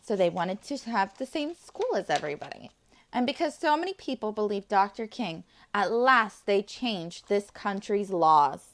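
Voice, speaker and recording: A woman's voice: mid-range voice, lively intonation, moderate pace, a bit bright. A woman preschool teacher reading aloud to young children, enthusiastic, with friendly asides to her little listeners.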